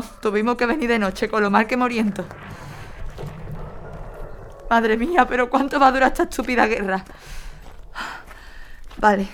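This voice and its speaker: A woman's voice: medium pitch, slight background noise, natural voice. An actress playing a frightened young mother fleeing with her baby in a wartime radio drama, tender and anxious. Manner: tender, anxious muttering to herself.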